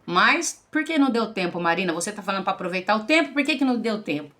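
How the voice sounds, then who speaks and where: A woman's voice: mid-range voice, animated, quick pace, a bit bright. A Brazilian woman in her forties, a pastor, giving an earnest religious testimony to the camera and addressing listeners as brothers and sisters. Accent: Brazilian Portuguese. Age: 40s.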